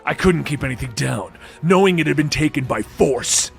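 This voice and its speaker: A man's voice: fairly high pitch, animated, normal speed, vocal fry, soft background music. A man playing a stern, determined masked space superhero, speaking firmly and tersely in a comic book story. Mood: resolute.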